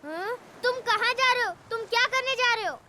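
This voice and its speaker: A woman voicing an excitable, insistent toddler rabbit boy, the childish little brother in a children's cartoon. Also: extremely high pitch, a little fast, very noisy. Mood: curious, excited. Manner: childish, pleading, exclamatory. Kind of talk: Hindi-dubbed children's cartoon acting.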